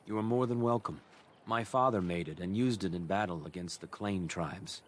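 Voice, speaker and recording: An actor playing a proud, kindly elven master craftsman in a fantasy game, speaking in a measured, formal storytelling way. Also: sits low, slight background noise, close to an everyday voice. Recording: loud music behind the voice. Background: game music.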